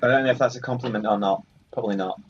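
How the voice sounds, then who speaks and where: A man's voice: mid-range voice, very fast, breathy edge. A man giving casual, rambling let's play commentary on a video game. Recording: muffled sound, game music behind. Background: video game music.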